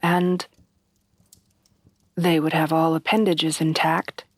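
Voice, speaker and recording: A woman playing a refined, tender headless horsewoman bride in a soft-spoken ASMR roleplay, with formal, genteel phrasing. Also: low-pitched, flat delivery, takes it slowly, no echo. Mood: anxious, confiding. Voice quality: soft, breathy at times.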